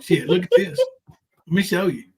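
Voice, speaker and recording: A man with a fairly high-pitched voice hosts a livestream panel. He talks casually, in a folksy, rambling manner. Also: normal speed, slightly rough. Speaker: live panel stream host.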